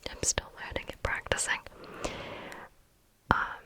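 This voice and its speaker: A woman answering viewer questions in a frank, chatty ASMR whisper, often pausing on "um". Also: bright, forceful tone. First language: English.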